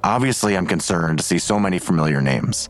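A man's voice: low-pitched, animated, brisk, slight background noise. A man playing a weary, grudging police detective in an audio drama, curt and sardonic in manner. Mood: grudging, guarded.

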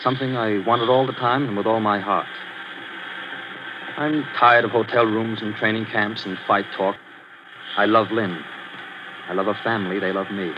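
An actor playing an earnest young boxer who talks in a plain, direct way, in a 1940s radio drama. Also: male voice, on the low side, fairly even intonation, moderate pace, pauses often, close to an everyday voice. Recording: hissy lo-fi old radio recording.

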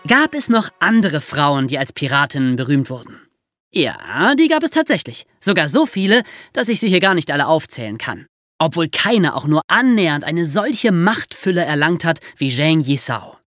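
A woman's voice: low voice, very animated, normal speed, fairly bright tone, no echo, clean studio sound. A woman hosting a podcast for young listeners in a conversational presenting style. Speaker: podcast host.

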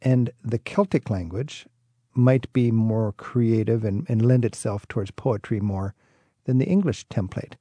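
A man's voice: fairly low pitch, a little slow, mellow, no echo. A man hosting a travel interview, asking curious, enthusiastic questions. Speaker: host.

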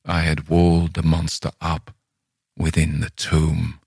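A man narrates as a condemned Gothic murderer making his confession. The voice is low, grave and deliberate, in a very dry audiobook sound. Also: completely flat delivery, creaky, a little mellow, husky, no room sound, full character voice. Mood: grim horror. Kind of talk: dramatic audiobook reading of Gothic horror.

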